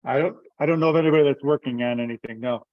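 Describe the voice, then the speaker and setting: A man's voice: mid-range voice, rapid-fire, no echo. A man talking briefly and matter-of-factly on a conference call. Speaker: meeting participant. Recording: dull, distant teleconference audio.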